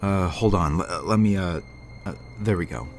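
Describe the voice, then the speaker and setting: Man acting low-voiced, stubborn, evasive man. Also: moderate pace, a little hiss or hum. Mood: calm, unsure. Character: stubborn, evasive man obsessed with his projects. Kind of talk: dramatic dialogue in an audio drama. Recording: music behind the voice.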